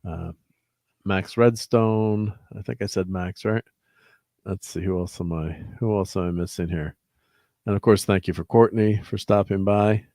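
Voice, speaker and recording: A man with a low voice hosts a casual livestream chat. He rambles along reading off viewer names and laughs easily. The sound is close and dry. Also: fairly even intonation, unhurried, mellow, no echo. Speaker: host.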